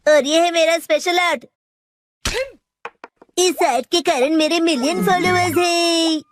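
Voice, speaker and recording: A woman's voice: very high-pitched, steady tone, unhurried, noisy background. A woman voicing a cheeky, self-assured little boy in a cartoon dub, boastful and playful. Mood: cocky. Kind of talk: Hindi cartoon dub acting.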